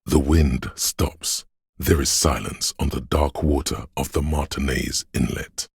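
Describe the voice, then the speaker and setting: A man playing a brooding, solemn game narrator with a very deep gravelly voice and slow, measured delivery. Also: fairly even intonation, no room sound, exaggerated voice. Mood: somber, hushed. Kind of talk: scripted video game narration.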